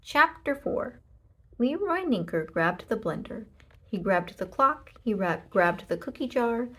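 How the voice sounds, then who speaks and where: A woman reads a children's story aloud at an unhurried pace. Her pitch moves up and down a great deal, and her voice has a husky, breathy grain. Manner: expressive, deliberate storytelling with emphatic short sentences. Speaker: storybook reader. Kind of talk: children's read-aloud narration.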